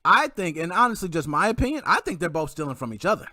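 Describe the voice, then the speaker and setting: A man's voice: fairly high pitch, big pitch swings, quick pace, pushed and bright. A man co-hosting a casual livestream, full of hype slang, laughing often and asking "you know what I mean".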